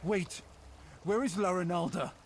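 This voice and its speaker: An actor playing a dazed, proud male adventurer in a fantasy game, halting and disoriented as he speaks. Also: high voice, a little hiss or hum. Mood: dazed, recovering. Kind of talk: scripted fantasy game dialogue.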